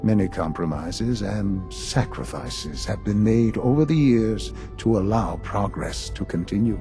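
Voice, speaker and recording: An actor playing a grave older institute leader in a video game, speaking formally and deliberately with measured pauses. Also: male voice, on the low side, lively intonation, gravelly, over music. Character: grave older male leader of a secretive science institute, dying.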